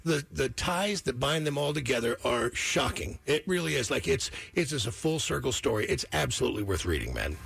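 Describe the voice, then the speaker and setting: Male radio host, gravel-edged voice, slangy and emphatic, light music underneath. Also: mid-range voice, very animated, fairly quick, hardly any pauses. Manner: informal, slangy, emphatic and repetitive. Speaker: radio morning show host. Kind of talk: casual, animated radio chat.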